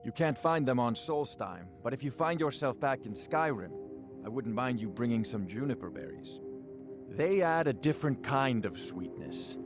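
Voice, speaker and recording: An actor playing an unruffled, friendly mead brewer in a video game, easygoing in manner. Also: male voice, medium pitch, animated, normal speed, close to an everyday voice. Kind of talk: video game character dialogue. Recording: music behind the voice.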